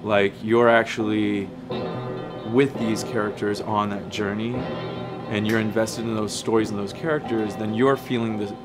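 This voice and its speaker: A man, a game developer, explaining in an interview in an earnest, measured way. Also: fairly low pitch, level tone. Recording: loud guitar music behind the voice.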